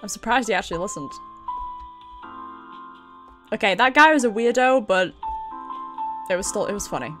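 A woman's voice: mid-range voice, animated, normal speed, pauses often, fairly bright tone, soft background music. A British woman, a streamer giving chatty, giggly commentary while playing a video game in a casual livestream.

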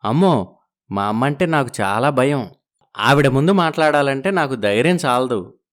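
A man's voice: mid-range voice, expressive, moderate pace, lightly acted. A man voicing a young husband who soothes his wife and dodges trouble in a storybook drama. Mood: evasive. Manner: soothing, evasive. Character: young husband torn between wives, evasive.

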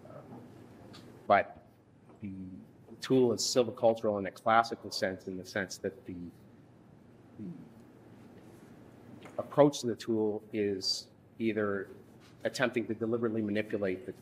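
A man in his thirties leading a workshop from a lectern in a conference room, speaking haltingly with frequent restarts. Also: fairly low pitch, fairly even intonation, a little hiss or hum. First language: English. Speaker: workshop instructor. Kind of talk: workshop presentation.

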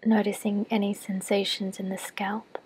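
A woman leads a guided body scan meditation in a soft, breathy near-whisper, giving gentle, measured instructions with long pauses. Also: medium pitch, level tone, rough recording. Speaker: clinical psychologist leading a guided meditation.

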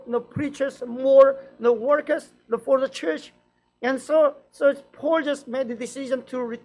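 Halting man preaching in two languages. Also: extremely high pitch. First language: Korean. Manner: slow, halting English with many restarts and fillers, quick fluent Korean. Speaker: pastor. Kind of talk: bilingual dawn-service sermon. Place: church sanctuary, indoors.

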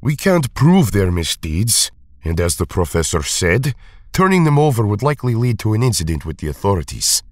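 A man's voice: lowish voice, very animated, normal speed, dry recording, studio quality. A man playing a cautious, level-headed Russian superhero in an audio drama. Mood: cautious, stern.

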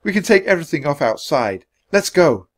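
A man's voice: fairly high pitch, sing-song, a little fast, pitched above the performer's own voice. A man voicing an earnest, anxious young boy adventurer in an audiobook story, speaking with urgency. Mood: urgent. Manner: urgent, hesitant when questioned.

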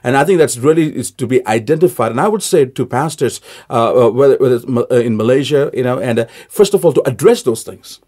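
A man who is a pastor talks in a radio studio with an even, mid-range voice. He argues his point with emphasis and keeps posing questions to the listener. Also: medium pitch, fast talker, few pauses. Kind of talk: conversational interview.

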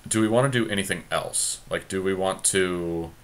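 A man's voice: sits low, normal speed. A man co-hosting a casual game commentary, thinking aloud in a conversational way. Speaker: podcast co-host.

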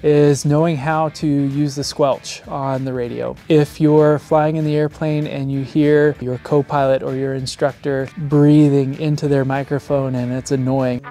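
A man's voice: medium pitch, level tone, measured pace, few pauses, mellow, faint music behind. A man in his thirties giving a plain-spoken instructional presentation as a flight instructor, recorded on a lapel mic indoors. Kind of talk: scripted instructional presentation to camera. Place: indoor studio set, seated.

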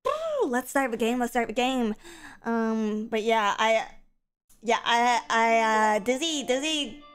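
A woman streaming a game in casual chat, a bit stuffy from a cold, going from low mumbles to loud excited yelling. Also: clean tone. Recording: game music behind.

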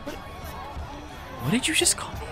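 A man's voice: very high-pitched, wildly swooping pitch, takes it slowly, over music, a crowd in the background, heavily acted. A man acting a surly but gullible young rebel in an audio drama. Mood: scornful.

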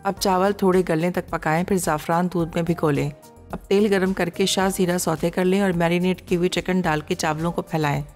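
A woman reads out recipe ingredients and steps in a steady, measured voice-over, with a Pakistani accent. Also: fairly low pitch, big-room sound, soft background music. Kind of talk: scripted recipe narration.

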